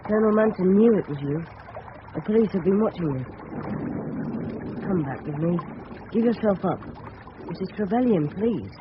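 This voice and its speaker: A muffled, very noisy old radio recording carries a high, youthful English voice. an earnest, plucky schoolboy in a period mystery drama. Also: male voice, animated, normal speed, pauses often. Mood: earnest, pleading.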